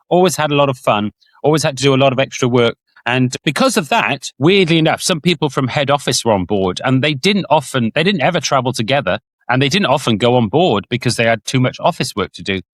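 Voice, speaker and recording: A man, a podcast co-host and former cruise director, reminiscing in a casual, animated way. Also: mid-range voice, no room sound. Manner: animated storyteller, puts on voices when quoting.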